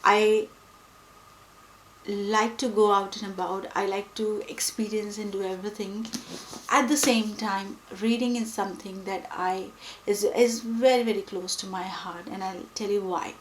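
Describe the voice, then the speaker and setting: Young female vlogger, Hindi native, measured unhurried delivery, thoughtful and hesitant, roomy echo. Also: medium pitch, steady tone, slight background noise. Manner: thoughtful, pausing often with fillers. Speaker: book vlogger. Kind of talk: reflective personal talk to camera. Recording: echoey room with faint ambience.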